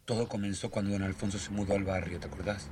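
An actor with a Buenos Aires accent playing an uneasy, secretive man in a radio drama, speaking hesitantly and gravely. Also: fairly low pitch, fairly even intonation, quick pace, natural voice. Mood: grave, confiding. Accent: Argentine, Buenos Aires. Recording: noisy street ambience with traffic.

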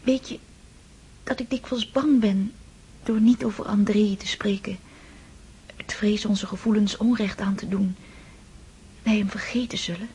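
An actress playing a young woman confiding in hushed, half-whispered tones in a radio play. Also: medium pitch, fairly even intonation, measured pace, long pauses, husky, poor audio. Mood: tender. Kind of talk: radio drama acting.

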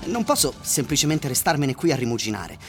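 A curious, resolute young traveller in a radio play. His pitch moves in lively swings as he speaks or notes his journey, with faint music behind him. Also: brisk, gravelly. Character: Franz, determined, curious young male traveller. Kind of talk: radio drama acting with diary entries.

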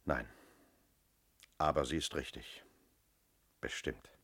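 An actor playing a shrewd, self-assured police inspector in a radio crime drama, speaking firmly and methodically. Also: male voice, extremely low pitch, takes it slowly, a bit bright, exaggerated voice. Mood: certain, explanatory. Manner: methodical, firm, explanatory.

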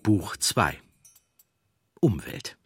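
A man reading scripted documentary narration as a measured storyteller. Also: deep voice, big pitch swings, faint music behind.

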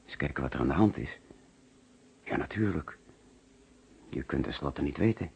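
A man's voice: a bass voice, animated, moderate pace, creaky, a little mellow, heavily acted. An actor with a Netherlands Dutch accent playing an anxious, hesitant businessman who narrates and mutters to himself in a radio play. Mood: puzzled. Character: anxious middle-class businessman recounting finding a murdered man. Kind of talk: radio play acting with first-person narration. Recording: muffled, noisy old radio play recording.

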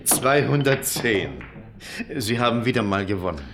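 A man's voice: mid-range voice, big pitch swings, moderate pace, background noise. An actor playing a guarded, evasive man in a radio play, answering tersely and hesitantly with polite indifference. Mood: reserved, polite. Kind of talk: radio drama dialogue acting.

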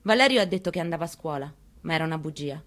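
A woman's voice: low-pitched, lively intonation, rapid-fire, fairly bright tone. A woman performing a dramatic monologue reading as a haunted, plainspoken mother recounting her story. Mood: plain recollection. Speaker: actress in a staged reading.

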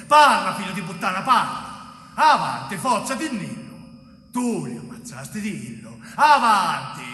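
Actor as nasty cop interrogator, sneering and barking, pitch jumping around, echoey stage. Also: male voice, sharp tone, exaggerated voice. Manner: barking orders, cursing. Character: brutal, sneering carabiniere interrogator, violent and menacing. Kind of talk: stage drama. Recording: echoey distant stage recording.

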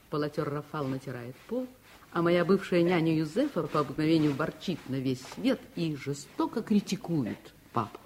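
A woman's voice: sits low, a little mellow, breathy edge, full character voice. An actress playing a curious, eager nine-year-old girl who narrates her own story in a radio play.

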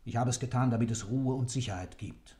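Man acting impassioned guy defending his dark creed, radio play, mid pitch, very declamatory. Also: fairly quick, close to an everyday voice. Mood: cold, ironic. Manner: rhetorical, fervent, declamatory.

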